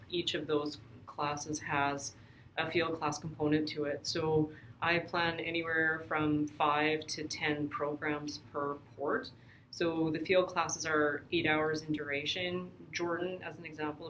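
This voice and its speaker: A woman from Canada, a field programs coordinator, explaining her work in a brisk, emphatic way. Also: deep voice, a bit bright, slight background noise, poor audio. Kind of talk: casual podcast interview.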